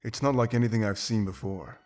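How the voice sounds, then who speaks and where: An actor playing a confident, pragmatic wolf mercenary in a video game, blunt and self-assured. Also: male voice, fairly low pitch, steady tone, fairly quick, a little mellow, natural voice. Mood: reflective. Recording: music behind. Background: game music.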